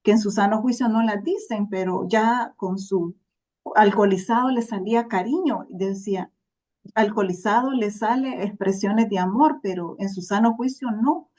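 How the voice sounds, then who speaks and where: A woman's voice: medium pitch, moderate pace, warm tone. A Salvadoran woman from El Salvador, a podcast guest telling her life story in an earnest, reflective way. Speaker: interview guest. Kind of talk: personal storytelling.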